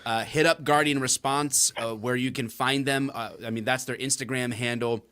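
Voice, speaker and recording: Male podcast host, bright and forceful, jokey, pushes the plugs hard. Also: mid-range voice, normal speed, clean tone. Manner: energetic, joking, emphatic plugs. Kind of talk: casual podcast talk.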